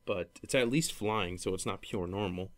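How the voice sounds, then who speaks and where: A man hosting a casual gaming podcast, talking in a rambling, halting way that often circles back on itself. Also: low-pitched, fairly bright tone. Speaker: podcast host.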